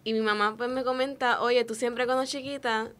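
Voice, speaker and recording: Young female interview guest, Puerto Rican accent, fairly high pitch, casual storytelling. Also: fairly even intonation, moderate pace, a bit bright. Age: young adult. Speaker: student guest on a radio show.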